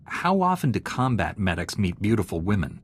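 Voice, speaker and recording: An actor playing an earnest, straight-laced warrior-monk hero in a science fiction video game, speaking in a measured, serious, dry manner. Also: male voice, on the low side, sing-song, a little hiss or hum. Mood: skeptical. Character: earnest, principled, straight-laced male Jedi knight hero. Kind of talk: video game voice acting, character dialogue.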